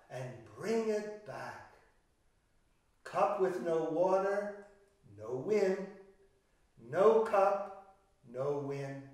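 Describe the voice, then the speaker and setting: In a very echoey room, a man with a fairly high voice tells a children's fairy tale. He takes his time, leaving deliberate pauses and leaning on key words theatrically. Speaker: children's storyteller. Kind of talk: animated storytelling of a fairy tale. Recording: echoey room, distant microphone.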